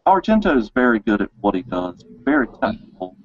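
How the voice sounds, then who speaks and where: A man's voice: mid-range voice, sing-song, a little fast, no room sound, muffled recording. A man hosting a casual interview, talking informally and enthusiastically. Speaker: interview host. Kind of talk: casual chat.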